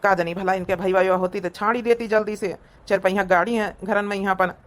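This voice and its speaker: An ailing family man in a village cartoon played by a woman, speaking with an Awadhi accent. The voice sits at an ordinary female pitch and is homely and consoling. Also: fairly even intonation, fairly quick, natural voice. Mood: concerned. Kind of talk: cartoon comedy voice acting.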